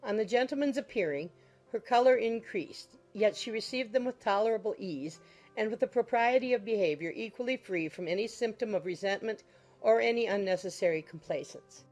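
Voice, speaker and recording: A woman reading a classic novel as an audiobook narrator, in measured, formal storytelling style. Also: medium pitch, darkish tone. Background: music under the voice.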